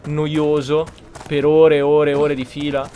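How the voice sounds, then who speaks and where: Higher-pitched sarcastic man commenting on gameplay. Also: completely flat delivery, normal speed, dark tone, slight background noise. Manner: sarcastic, grumbling. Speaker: gaming YouTuber, player.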